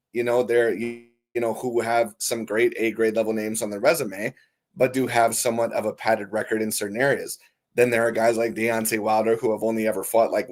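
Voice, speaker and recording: A man with a hoarse, raspy voice, talking casually on a live stream as host, reading viewer comments and calling his viewers folks. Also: medium pitch. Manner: chatty, curses casually, calls viewers folks.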